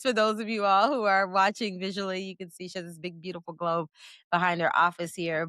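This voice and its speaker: A woman from Florida hosting an interview podcast, effusive and upbeat with sweeping swings in pitch, speaking over a video call. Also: a bit bright, no echo, clean studio sound. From Florida, USA. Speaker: podcast host.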